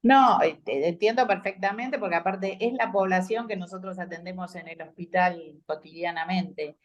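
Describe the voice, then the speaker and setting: A woman's voice: on the low side, normal speed, highs cut off. A woman with an Argentine accent, a hospital clinician commenting in a conference discussion, hesitant with many fillers. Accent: Argentine Spanish.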